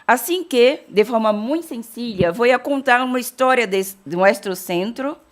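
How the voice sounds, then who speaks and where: A woman's voice: on the high side, lively intonation, moderate pace. A Brazilian woman from Bahia giving an academic keynote lecture, blending Portuguese and Spanish with frequent self-corrections and restarts. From Salvador, Bahia, Brazil.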